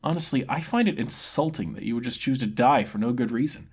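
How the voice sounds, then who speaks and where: A man voicing a blunt, scornful, street-hardened woman adventurer with a sharp, scolding manner in a fantasy story. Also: medium pitch, lively intonation, a little fast, husky.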